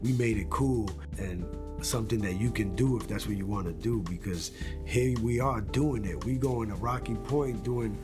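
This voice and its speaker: A man's voice: lowish voice, moderate pace, music in the background. A man from Rhode Island who was in a rap group, speaking animatedly in a documentary interview. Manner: animated, conversational.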